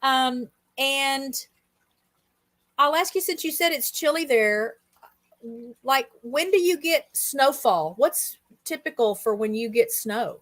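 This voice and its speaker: A woman's voice: high-pitched, animated, takes it slowly, fairly bright tone. A woman with a chatty, folksy way of talking, hosting a casual stream and interviewing a guest. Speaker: livestream host.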